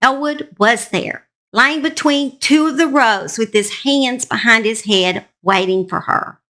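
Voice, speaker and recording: A woman's voice: a little high, unhurried, bright, forceful tone. A woman hosting and telling a true-crime story in a folksy, emphatic, conversational way. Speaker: host narrator.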